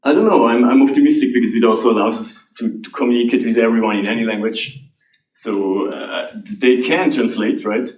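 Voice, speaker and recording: A man answers audience questions at a conference, often restarting his sentences and laughing lightly. His voice sits at an ordinary pitch and carries the echo of a large hall, picked up from some distance. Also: brisk, darkish tone. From Switzerland.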